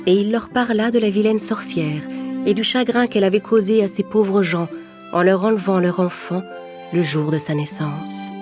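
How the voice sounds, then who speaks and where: A woman's voice: medium pitch, normal speed. A woman storyteller reading a scripted fairy tale for children. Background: music.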